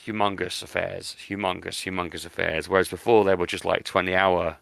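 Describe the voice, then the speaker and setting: A man's voice: low-pitched, little pitch movement, a little fast, creaky, fairly bright tone, dry recording. A British man chatting casually as a podcast host, enthusiastic and often repeating words for emphasis. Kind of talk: casual chat.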